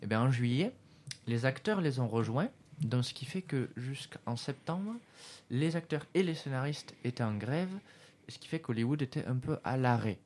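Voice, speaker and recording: A man hosting a casual radio show about cinema, relaxed and talking straight to the listeners. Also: medium pitch, moderate pace.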